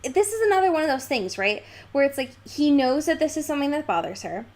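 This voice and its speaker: A woman's voice: high-pitched, very animated, fast, darkish tone. A woman co-hosting a podcast, discussing a book in a casual, slangy, emphatic way with exasperated asides. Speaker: podcast co-host.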